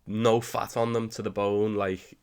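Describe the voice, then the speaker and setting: Chatty low-voiced man with a cold. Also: flat delivery, a little slow, darkish tone, smooth. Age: 30s. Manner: chatty and rambling, with many restarts. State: has a cold. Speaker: sports video host. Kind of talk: casual commentary over football clips.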